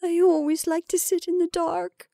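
A woman's voice: high voice, slow, no room sound, voice pushed up, full character voice. A timid, agitated storybook woman, as a female narrator plays her, pleads in a raised, childlike voice. She sounds piteous and hesitant, as if close to choking, in a dry recording. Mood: choked. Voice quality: hoarse, choked.

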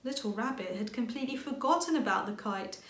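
Female storybook reader, thirties, higher register, expressive delivery, roomy home sound. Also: brisk. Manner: expressive reading with character lines. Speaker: author reading aloud. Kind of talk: storybook narration for children. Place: indoor room with bookshelves.

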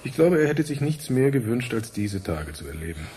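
An actor playing a pensive, fatherly research scientist in a radio drama, speaking gently and reflectively. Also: medium pitch, very animated, moderate pace, close to an everyday voice. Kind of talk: German radio drama acting.

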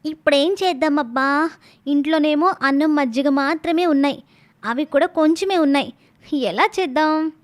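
A woman's voice: high voice, normal speed, lightly acted. A woman voicing an eager, cheeky young village daughter with a childlike sing-song way of talking, in a story cartoon. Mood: worried, musing. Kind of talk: animated moral story dialogue.

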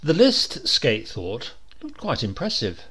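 A man's voice: medium pitch, takes it slowly, slightly rough. A man narrating a comic short story in a dry, wry storytelling manner. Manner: dry, wry storytelling with lively voiced dialogue.

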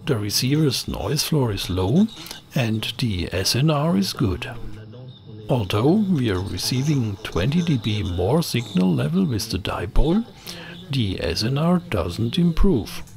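A middle-aged man reading a scripted technical tutorial in a measured, explanatory way into a close microphone. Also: mid-range voice, very animated, gravelly. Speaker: presenter of an electronics tutorial channel. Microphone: close voice-over microphone.

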